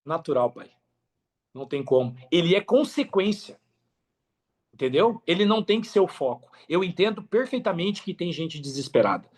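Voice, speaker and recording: Brazilian male podcast guest, somewhat high register, casual, sincere, drives points home by repetition. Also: very animated, normal speed. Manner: earnest, repetitive for emphasis, conversational. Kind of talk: casual motivational podcast talk.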